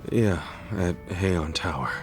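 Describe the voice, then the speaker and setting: An actor playing a gruff but earnest yakuza underling weighed down by grief, speaking bluntly and informally in a video game. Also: male voice, low voice, slow, over music. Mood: subdued, grieving. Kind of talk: dramatic video game dialogue.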